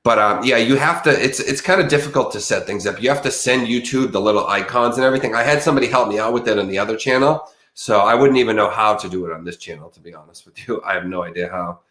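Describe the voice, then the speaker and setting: A man chatting loosely with his viewers on a crime livestream, sounding a bit stuffed up from allergies. His voice sits on the low side and bounces around a roomy space, and he loses his thread and restarts often. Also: fast, few pauses, a bit bright. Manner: rambling, many fillers and restarts. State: slightly congested from allergies. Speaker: true crime channel host. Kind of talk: casual livestream chat with viewers.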